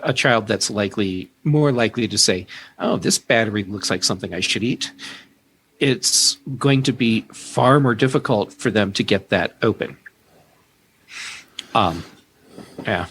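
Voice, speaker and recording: A man as a podcast guest, giving casual tech explanations in a measured, careful way. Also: fairly low pitch, a bit bright. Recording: clear background noise.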